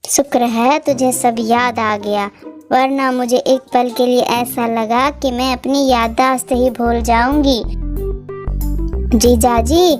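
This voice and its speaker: A woman's voice: fairly high pitch, fairly even intonation, takes it slowly. A woman voicing a snooty, short-tempered old woman in a Hindi cartoon story, bossy and scolding. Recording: music playing behind the voice.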